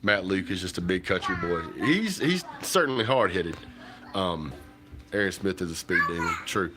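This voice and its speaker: A man, chatting casually to viewers on a livestream while stuffy and hoarse from a cold. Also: low voice, expressive, moderate pace, over music. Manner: rambling, folksy, talks to viewers directly. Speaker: livestream host. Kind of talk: casual livestream chat about football. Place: home kitchen.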